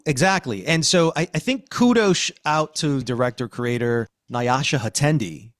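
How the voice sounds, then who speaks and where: An American man co-hosting a podcast, talking through a story in an emphatic, reflective way with dramatic pauses. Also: medium pitch, lively intonation, no room sound, clean studio sound. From Queens, New York, United States. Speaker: podcast co-host. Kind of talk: conversational podcast analysis.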